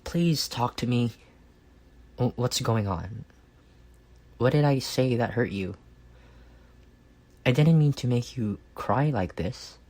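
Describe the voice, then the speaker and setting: A man acting a reserved, awkward and earnest best friend in an intimate roleplay, speaking softly and haltingly. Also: medium pitch. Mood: worried, pleading. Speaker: voice actor.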